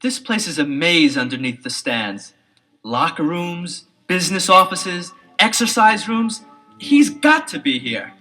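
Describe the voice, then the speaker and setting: An actor playing an earnest, clever teenage boy detective in a scripted audio adventure, explaining things in a deliberate, dramatic way. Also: high-pitched, very animated, pushed and bright, heavily acted. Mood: cautious, urgent. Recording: 1970s LP radio-play with music behind.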